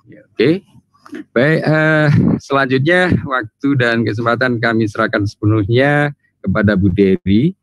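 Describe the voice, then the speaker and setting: A man's voice: mid-range voice, a little slow, no echo, rough recording. A middle-aged man, moderating an online lecture in a courteous, wordy, formal but unscripted way. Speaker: moderator introducing a guest lecturer. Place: indoors, seated before an event backdrop on a video call. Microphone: video call microphone.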